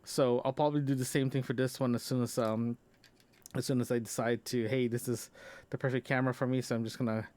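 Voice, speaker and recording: A man with a medium-pitched voice records a casual personal podcast. He talks off the cuff in a halting, rambling way, often repeating words and doubling back on his sentences. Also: level tone, a little mellow. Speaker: podcast host. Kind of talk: casual audio diary about personal gear.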